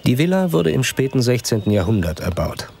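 A man giving calm, measured scripted narration for a documentary voice-over. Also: mid-range voice, vocal fry. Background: music under the narration.

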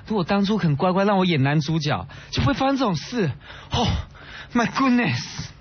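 A man's voice: sits high, sing-song, fairly quick, husky, exaggerated voice. A man playing a vain, showy young student who drops English into his lines in a radio drama. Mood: exasperated, showy. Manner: showy, mixes English into Mandarin. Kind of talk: idol radio drama acting. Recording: dull lo-fi audio.

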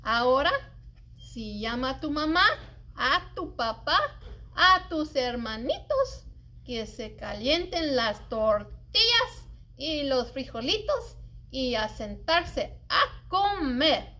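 A middle-aged woman reading a children's poem aloud in an expressive, singsong, deliberate way, as a story-time librarian. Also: high-pitched. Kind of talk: animated read-aloud of a children's cooking poem. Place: indoors, public library children's area.

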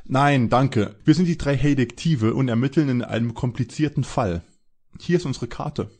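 A man's voice: medium pitch, normal speed, a little mellow, close to an everyday voice. A man playing a cocky young male detective in a parody radio play. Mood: businesslike.

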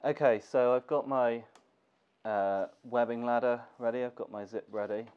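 A man's voice: mid-range voice, normal speed, smooth. A young man with a cold, sounding congested, casually talking through a sewing tutorial in his workshop. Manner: relaxed, thinking aloud with fillers. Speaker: gear maker teaching sewing. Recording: dull lo-fi camera audio with faint noise.

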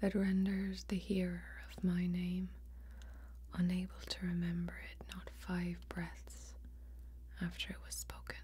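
A young woman playing a guarded, secretive female warrior ranger in a fantasy ASMR role-play, speaking slowly and intimately in a soft, breathy whisper. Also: fairly low pitch, monotone, a little hiss or hum, lightly acted. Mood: guarded, solemn. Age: young adult. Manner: slow, deliberate, intimate.

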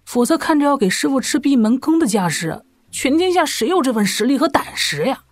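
A woman's voice: high-pitched, big pitch swings, fairly quick. A woman voicing a brash, impish teenage apprentice swordsman in a wuxia audio drama. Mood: cheeky awe. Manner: impish, exclamatory.

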